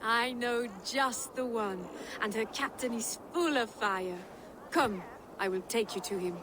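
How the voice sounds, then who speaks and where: A shrewd, world-weary Frankish noblewoman in a game cutscene. She speaks poetically and with poise in a fairly high voice. Clear ambient noise sits behind her. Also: a little slow, a bit bright. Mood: poised, scheming. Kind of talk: video game cutscene acting.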